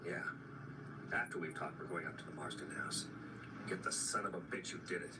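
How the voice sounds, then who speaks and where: An actor playing a determined, protective vampire hunter in a radio drama, speaking in a terse, resolute way. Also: male voice, deep voice, a little fast, lightly acted. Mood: grim, protective. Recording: very noisy, distant radio drama replay.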